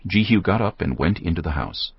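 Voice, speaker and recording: A man's voice: deep voice, animated, fairly quick, gravelly, breathy edge, dry recording. A man narrating scripture solemnly for a dramatized audio production. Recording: dull lo-fi audio with music.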